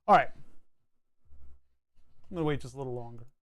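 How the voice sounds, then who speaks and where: A man's voice: mid-range voice, fairly quick, a bit bright, no room sound, clean studio sound. A man streaming live, chatting casually while he designs a circuit board, thinking aloud and often restarting his sentences. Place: home computer desk.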